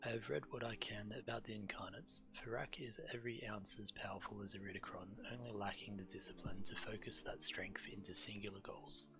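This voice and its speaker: A man's voice: fairly low pitch, fairly even intonation, fairly quick, hardly any pauses, over music, rough recording. A man who streams games, reading quest text aloud.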